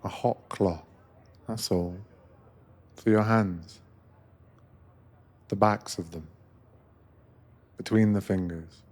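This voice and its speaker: Deep-voiced man, slow ASMR narration. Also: fairly even intonation. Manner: soothing, gentle, attentive, unhurried. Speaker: narrator playing a sleeper train attendant. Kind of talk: ASMR guided meditation roleplay, scripted narration.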